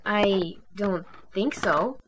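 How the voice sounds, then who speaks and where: A woman playing a calm, reassuring member of a cartoon animal team, speaking gently in an amateur radio drama. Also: medium pitch, drawn-out words, slight background noise, poor audio. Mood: calm, questioning.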